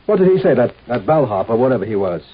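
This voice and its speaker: An actor playing a gruff, scornful galactic Grand Marshal in a 1950s radio drama, with a blustering, domineering manner. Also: male voice, mid-range voice, big pitch swings, fast, a little hiss or hum, natural voice. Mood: irritated. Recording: tinny, band-limited old radio recording, faint music.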